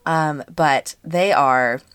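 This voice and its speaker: A woman in her thirties hosting a casual solo podcast, hoarse and congested from allergies, chatty with frequent fillers. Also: low voice, extremely slow, fairly bright tone, no echo. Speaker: podcast host and business coach.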